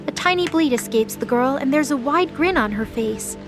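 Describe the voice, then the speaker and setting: High-pitched woman narrating a story. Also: animated, moderate pace, over music. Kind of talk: scripted audio drama narration.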